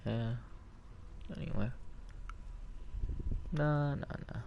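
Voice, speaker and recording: A man's voice: mid-range voice, extremely slow, creaky, dull audio, a little hiss or hum. A man streaming from home on a headset mic, rambling in casual chat with many fillers and restarts.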